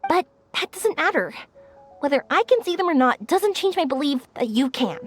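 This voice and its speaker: A young video game heroine with a high, gentle voice. She sounds earnest, caring and encouraging, as if comforting someone younger. Soft music plays behind her. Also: very animated, moderate pace. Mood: reassuring. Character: kind, reassuring young woman, earnest and caring. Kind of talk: English video game voice acting. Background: game background music.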